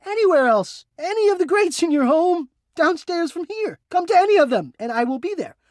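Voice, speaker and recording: A man voicing an anxious, melodramatic, yearning male game character who hides away, with florid, halting, theatrical speech. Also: very high voice, sing-song, no room sound, studio quality. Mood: weary, pleading. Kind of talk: video game character acting.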